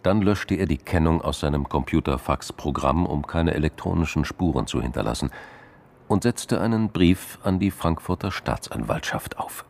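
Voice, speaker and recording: A man narrating a scripted crime drama in a measured, sober storytelling manner. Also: extremely low pitch, animated, vocal fry, darkish tone.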